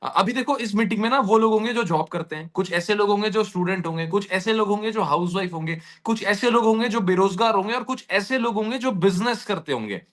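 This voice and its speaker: A man giving a motivational pitch directly to his online audience, repeating points for emphasis. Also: quick pace, hardly any pauses, fairly bright tone. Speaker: presenter of an earning opportunity session.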